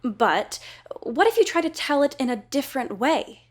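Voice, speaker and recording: An eager young woman assistant in an audio drama, earnest and friendly. Her voice sits fairly high. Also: very animated, normal speed.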